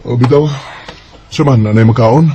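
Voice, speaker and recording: A man's voice: mid-range voice, moderate pace, dark tone, highs cut off, slight background noise, close to an everyday voice. A man playing an earnest, lovestruck young son in a Bisaya radio drama, with a Filipino accent. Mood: hesitant. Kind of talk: amateur radio drama acting.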